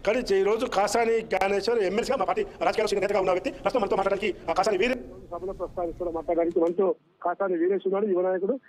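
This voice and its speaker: High-pitched halting man reporting live. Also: fairly even intonation, a little mellow, poor audio. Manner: halting, repetitive, stumbling over names. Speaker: field reporter. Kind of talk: live political news report.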